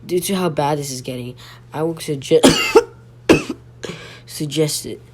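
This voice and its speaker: A man playing a sick, halting local radio host who reads breaking news in an amateur audio drama. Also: fairly high pitch, lightly acted. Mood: weary, unwell. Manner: halting, stumbling, breaking off to apologize. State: sick, coughing. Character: ailing male local radio news host, dutiful but fading. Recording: noisy home recording with hum.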